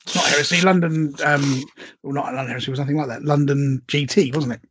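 A man's voice: on the high side, steady tone, quick pace, slightly rough, no echo. A man co-hosting a podcast in casual conversation, chatty, with frequent asides and tag questions. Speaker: podcast co-host.

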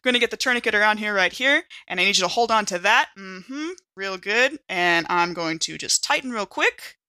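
A woman playing a brisk, reassuring field medic in a tabletop game, urgent and slangy. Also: mid-range voice, expressive, bright, forceful tone, clear, resonant tone. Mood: urgent, steadying.

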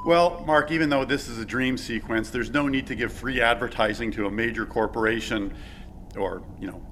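A man's voice: medium pitch, normal speed, heavy background noise. A man hosting a local podcast, reading a comic sketch and a wry, self-deprecating outro. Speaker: podcast host.

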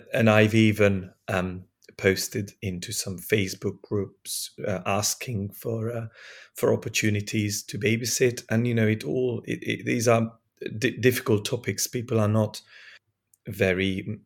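Hoarse, halting man, a podcast guest. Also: fairly low pitch, flat delivery. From Eastern Europe. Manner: hesitant, many restarts and fillers. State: hoarse, recovering from lost voice. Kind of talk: casual conversation.

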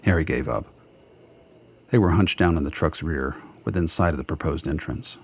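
A man with a very deep, husky voice narrates a radio drama. His scripted delivery is measured and faintly wry, in a storytelling style.

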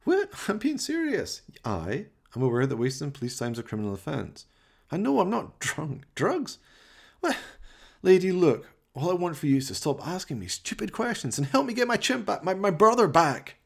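A man voicing a hapless, scruffy and loyal stoner in a radio play, flustered and excitable. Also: a little high, normal speed, creaky, voice pushed up, exaggerated voice. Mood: exasperated. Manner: hesitant, flustered, exclaiming.

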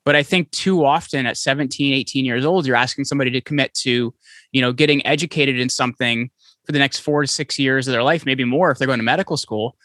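A man being interviewed as a podcast guest, talking in quick, animated stories full of restarts. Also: medium pitch, no room sound, clean studio sound. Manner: fast, animated storytelling with many restarts. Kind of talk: conversational interview. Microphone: podcast microphone, remote call.

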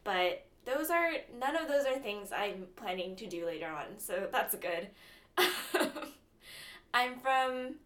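A woman's voice: medium pitch, animated, slow, a bit bright. A young woman from Southern California co-hosting a casual college radio podcast. Her talk is chatty and self-mocking, full of giggly asides and dry little jokes. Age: young adult. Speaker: podcast co-host.

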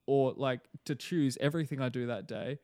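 Brief: male guest pastor, soft Australian accent, earnest and musing, dry close sound. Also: medium pitch, normal speed, no echo. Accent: Australian, mild. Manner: thoughtful and earnest, with many fillers and restarts. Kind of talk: casual podcast chat.

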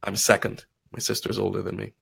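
Low gravelly trini guy chatting on a podcast, tells stories, acts out who said what. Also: a little fast. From Guyana and Trinidad. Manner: animated, acts out quoted dialogue. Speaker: podcast guest, financial advisor. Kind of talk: casual conversational storytelling.

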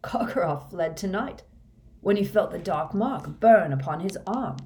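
A woman's voice: lowish voice, lively intonation, unhurried, slight background noise. A woman reading a storybook, voicing a grim, menacing older male wizard teacher who questions insistently with fanatical intensity. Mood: coldly amused. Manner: quiet insistent questioning rising to fervent intensity.

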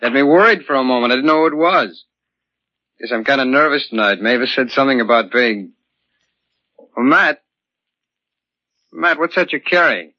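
An actor playing an anxious, frightened young brother in a 1940s radio melodrama. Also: male voice, mid-range voice, lively intonation, moderate pace, long pauses, no room sound, lightly acted. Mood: nervous. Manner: nervous. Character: frightened, anxious twin brother in mortal danger. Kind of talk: 1940s radio drama acting. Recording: muffled vintage 1940s radio recording.

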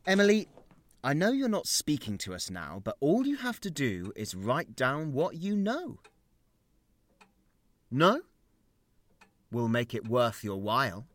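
An actor playing an exasperated dad with a short temper in an audio drama, moving between hushed mutters and loud outbursts. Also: male voice, medium pitch, huge pitch swings, a little slow, pauses often. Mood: firm, strained.

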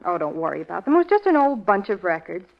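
An actress playing an earnest, shaken young nurse in a 1940s radio drama, speaking hesitantly. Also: female voice, mid-range voice, sing-song, fast, vocal fry. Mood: earnest recalling. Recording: muffled vintage radio recording.

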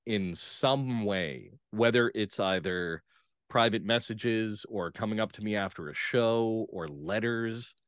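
A man gives reflective interview answers as a guest playwright. He speaks earnestly and in measured phrases, and the sound is dry, with little room around it. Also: lowish voice, fairly bright tone, clear, resonant tone, no room sound.

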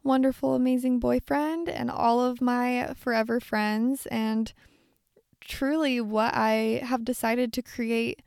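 A woman in her twenties hosting a podcast alone, chatting in an effusive, rambling way, her voice a bit raspy. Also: on the high side, fairly even intonation, slow, darkish tone, no room sound, studio quality. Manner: chatty, effusive, lots of likes and little laughs. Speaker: podcast host. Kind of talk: casual solo podcast monologue.

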